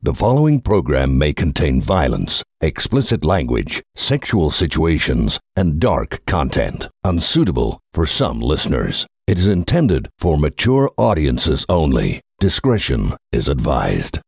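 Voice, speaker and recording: A man's voice: a bass voice, sing-song, measured pace, a little mellow, breathy edge, dry recording. A man reading a scripted content warning as an announcer.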